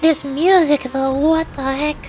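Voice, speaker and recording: A woman's voice: high-pitched, fairly even intonation, unhurried. A woman live streaming a game, reading its dialogue aloud with some drama and reacting casually. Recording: game music behind the voice. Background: video game music.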